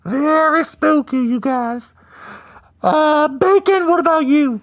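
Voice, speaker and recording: A woman co-hosting a casual livestream podcast, putting on a strained, shouty costume voice while she loses her voice. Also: high voice, takes it slowly. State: losing her voice. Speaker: podcast co-host.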